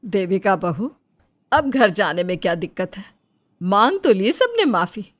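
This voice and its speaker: A woman's voice: medium pitch, sing-song, measured pace. Woman acting hesitant elderly village aunt. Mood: persuading. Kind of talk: cartoon moral story dialogue.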